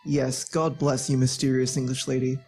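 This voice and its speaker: A woman's voice: a bass voice, little pitch movement, moderate pace, mellow, soft background music. A woman playing a cheeky, confident young country man of the 1910s in a radio play, droll and matter-of-fact. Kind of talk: nostalgic radio play acting.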